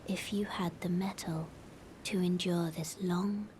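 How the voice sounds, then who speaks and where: A woman playing a solemn young bodiless maiden in a fantasy video game, speaking in a hushed, measured, archaic way. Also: lowish voice, fairly even intonation, a little hiss or hum, natural voice. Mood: formal, gentle.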